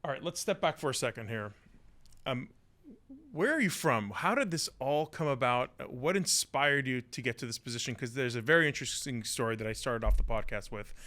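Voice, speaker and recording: A man hosting a podcast interview, reacting with quick enthusiasm and asking his guest questions. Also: mid-range voice, animated, pushed and bright. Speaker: podcast host.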